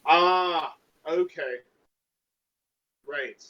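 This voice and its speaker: A man's voice: fairly high pitch, drawn-out words, pushed and bright. A man playing a tabletop game online, chatting casually, heard through muffled call audio.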